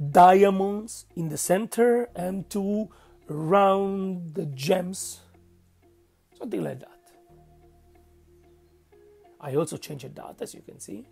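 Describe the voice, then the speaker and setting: A man with an Italian accent narrating a painting tutorial, speaking haltingly and searching for words, with frequent checks of "okay?". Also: sits high, vocal fry, a little mellow. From Italy. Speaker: miniature painting instructor.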